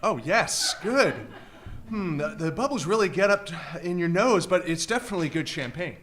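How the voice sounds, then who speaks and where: A man's voice: high voice, animated, moderate pace, fairly bright tone, slight background noise. A man playing a good-natured, bumbling married hotel guest with a stammering, placating manner in a radio comedy. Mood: pleased. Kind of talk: live stage radio comedy acting.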